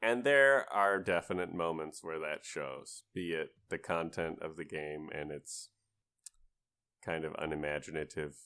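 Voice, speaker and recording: Low voiced guy on a gaming podcast, wry jokes, stops and starts, casual. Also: measured pace, sharp tone, smooth. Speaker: podcast panelist. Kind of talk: casual video game discussion.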